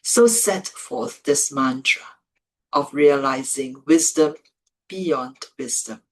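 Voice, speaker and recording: Very slow, low-voiced woman reading scripture. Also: low-quality recording. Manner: deliberate, phrase-by-phrase delivery with pauses. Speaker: Buddhist monastic, reader. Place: office.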